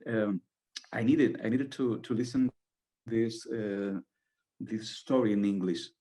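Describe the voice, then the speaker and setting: A man's voice: on the low side, takes it slowly, mellow. A Spanish-accented man, a playwright and native Spanish speaker, hesitantly searching for words in a Q&A.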